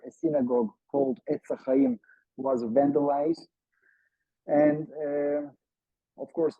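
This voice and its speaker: A man's voice: mid-range voice, vocal fry, breathy edge, no echo. A man with a Turkish accent, a native Turkish speaker, giving an earnest seminar talk over a video call, hesitant and full of filler sounds. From Turkey. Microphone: video call microphone. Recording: dull, lo-fi video call audio.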